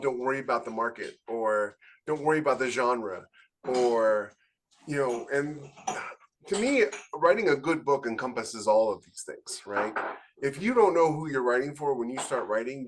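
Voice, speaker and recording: A man's voice: medium pitch, animated, normal speed, a little hiss or hum, poor audio. A man co-hosting a podcast, speaking his opinions in casual discussion. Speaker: podcast co-host, author.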